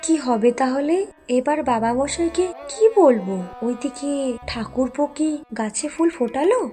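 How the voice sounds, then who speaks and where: Cartoon village daughter-in-law, female actor: high, breathy, fretful, scheming, faint music behind. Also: lively intonation, moderate pace, warm tone, long reverb tail. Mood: worried. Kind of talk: cartoon family drama acting.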